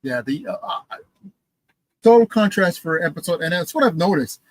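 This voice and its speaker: A man co-hosting a casual podcast chat and reading out news items, animated and halting, with many fillers. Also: fairly high pitch. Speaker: podcast co-host.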